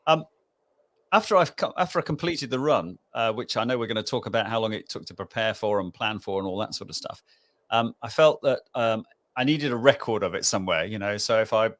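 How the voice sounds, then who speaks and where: A man's voice: fairly low pitch, a little fast, a bit bright. Chatty older Englishman. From England. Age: 60s. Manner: chatty, many fillers and tag questions, chuckles. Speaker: guest author. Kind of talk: podcast interview.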